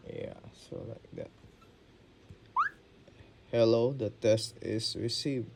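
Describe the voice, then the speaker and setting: A man's voice: lowish voice, slow, vocal fry, background noise. A man giving a casual narrated demo as he reviews a phone app.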